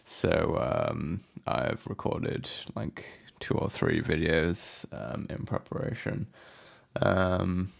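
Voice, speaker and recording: A man giving a casual, unscripted software walkthrough as a tutorial presenter, low-key and hesitant in manner. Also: expressive, takes it slowly, creaky. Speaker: music production tutorial presenter.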